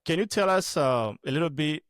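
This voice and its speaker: A man's voice: on the high side, animated, a little fast, a bit bright, clean tone, no echo, studio quality. A man hosting an interview podcast, introducing the show and putting questions to a guest. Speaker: podcast host.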